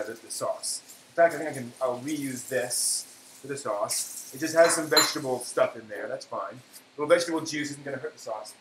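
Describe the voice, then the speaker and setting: A man's voice: medium pitch, a bit bright. Man thinking aloud in an echoey room. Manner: halting, self-talking, trailing off. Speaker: host of a cooking live stream. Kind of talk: casual cooking chat, thinking aloud. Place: indoor kitchen. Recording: echoey room, background noise.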